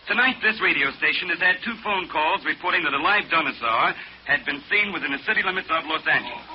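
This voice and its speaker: Rapid-fire 1940s Hollywood gossip broadcaster. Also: male voice, a little high. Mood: stern. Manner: punchy, emphatic, scolding at times. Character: brisk, self-assured Hollywood radio gossip broadcaster. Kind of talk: 1940s radio commentary. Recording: dull, lightly noisy 1940s radio recording.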